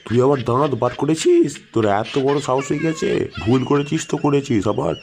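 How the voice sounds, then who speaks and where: A man's voice: mid-range voice, brisk, darkish tone, slight background noise, close to an everyday voice. A man voicing a strict, hot-tempered schoolteacher in a comic cartoon, scolding and blustering. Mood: angry scolding. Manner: scolding, blustering, coarse-tongued. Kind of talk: comic cartoon dialogue in Bengali village school skit.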